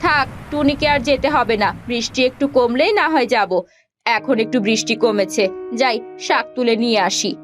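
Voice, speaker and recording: A woman's voice: fairly high pitch, steady tone, normal speed, faint music behind, close to an everyday voice. A woman voicing a caring, hardworking village mother who sells greens in a fairy tale cartoon. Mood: gentle, concerned.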